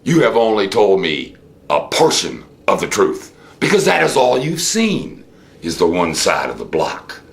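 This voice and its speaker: A very low-voiced American man delivers an off-the-cuff commentary. His voice is full of gravelly fry and swings widely in pitch. He is theatrical, leaning on rhetorical questions and stretching words for emphasis. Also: huge pitch swings, unhurried. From United States. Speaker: host of a political commentary show. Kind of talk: unscripted political monologue and storytelling.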